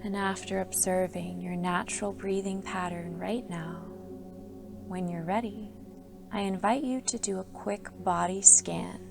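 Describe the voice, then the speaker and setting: A woman yoga instructor leading a guided sleep meditation, giving soft, soothing instructions with calm pauses. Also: medium pitch, gravelly. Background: soft background music.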